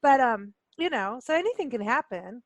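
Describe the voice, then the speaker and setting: A woman's voice: fairly high pitch, expressive, normal speed, no room sound. A woman hosting a casual radio interview, chatting in an informal, enthusiastic and slangy way. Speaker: radio show host.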